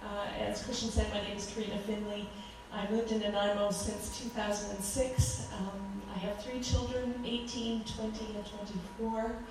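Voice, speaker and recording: A woman in her forties with a loud voice, giving a fervent talk as a guest speaker at a church, with frequent ums. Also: mid-range voice, little pitch movement, moderate pace, few pauses, music in the background. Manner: earnest, fervent, with many ums. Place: church hall. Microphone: handheld microphone. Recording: echoey hall from far off.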